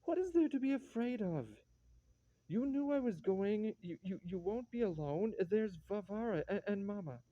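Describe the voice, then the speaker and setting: An actor playing a weak, harried husband in a classic stage drama, exasperated and hesitant. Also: male voice, high-pitched, animated, a little slow, mellow, no echo, highs cut off, full character voice. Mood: impatient. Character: weak, harried husband, henpecked by his mother.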